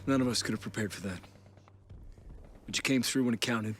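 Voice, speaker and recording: A man's voice: on the low side, a little fast, soft background music, natural voice. An actor playing a commanding, fatherly American army officer in a war game, firm and encouraging. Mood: warm approval. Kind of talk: cinematic war game acting.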